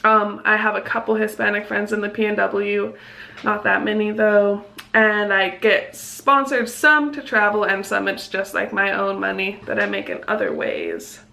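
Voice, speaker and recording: A woman's voice: medium pitch, lively intonation, normal speed, gravelly, pushed and bright. A young woman from Mexico chatting casually in a vlog, reading out viewer questions and answering them, with lots of "like" fillers. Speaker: vlogger.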